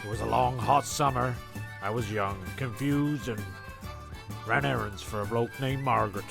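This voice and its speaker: Gravelly man in comedy dialogue. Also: medium pitch, normal speed, over music. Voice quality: gravelly, creaky.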